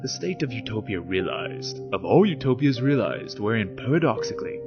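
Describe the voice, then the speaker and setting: A man's voice: mid-range voice, very animated, brisk, muffled recording. A man hosting an informal video essay, explaining and dramatically reading philosophy with emphatic, theatrical delivery. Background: music.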